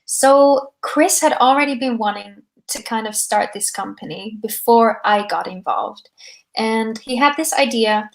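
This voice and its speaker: Soft-spoken young woman, slightly high. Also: a little slow, vocal fry, poor audio. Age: 20s. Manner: soft-spoken, relaxed, frequent ums. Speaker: startup founder guest. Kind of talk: podcast interview answers. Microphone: podcast microphone.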